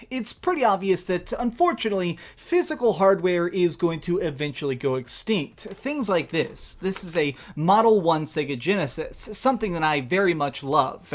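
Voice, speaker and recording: A man in a gaming commentary video, speaking in a downbeat, earnest way and reading out quotes. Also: a little high. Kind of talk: video commentary clip played in a reaction.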